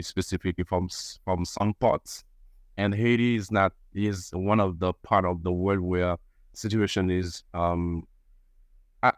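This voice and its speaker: French-speaking guy, lowish voice, halting english, dry close sound. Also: clear, resonant tone, dry recording. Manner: halting, searching for English words. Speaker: guest, association vice president. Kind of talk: online interview answers.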